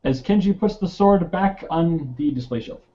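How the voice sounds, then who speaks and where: A man's voice: on the high side, lively intonation, moderate pace, dark tone, a little hiss or hum. A man casually describing his character's actions as a player in a tabletop game. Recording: dull lo-fi audio.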